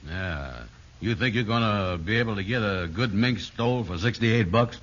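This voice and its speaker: A man's voice: lowish voice, normal speed, a bit bright, slight background noise, lightly acted. Man playing doubtful, folksy radio sidekick. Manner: folksy, nonstandard grammar. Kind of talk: 1950s radio comedy acting. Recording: muffled old radio recording.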